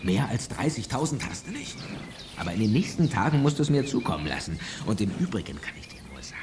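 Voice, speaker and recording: Gruff crook on the run, kids radio play, pitch all over, threatening, noisy recording. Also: male voice, sing-song, fast. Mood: pressing, demanding. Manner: menacing, blustering, slangy. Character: gruff, cornered male criminal on the run. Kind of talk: 1980s German children's radio play acting.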